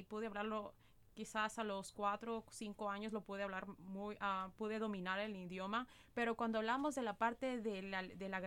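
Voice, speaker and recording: A woman's voice: mid-range voice, fairly bright tone, clean tone. A young woman with an Ecuadorian Spanish accent, co-hosting a podcast and talking earnestly and reflectively about learning a language. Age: young adult. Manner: earnest, reflective, laughs easily. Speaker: podcast co-host, English teacher. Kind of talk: casual podcast chat.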